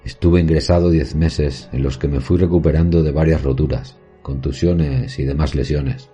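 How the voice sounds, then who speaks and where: A very deep, dark actor's voice of a haunted male professor tells a horror story. The delivery is solemn and measured, with literary phrasing. Faint music plays underneath. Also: fairly even intonation. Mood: sombre recollection. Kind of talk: scripted horror narration.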